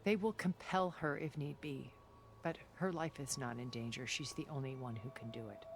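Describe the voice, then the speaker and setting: An actress voicing a cultured, centuries-old woman recluse in a video game cutscene, speaking in a calm, deliberate and elegant way. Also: rumbling low, background noise. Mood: measured. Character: cultured, ancient woman recluse, measured and regretful. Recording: game cutscene with music underneath.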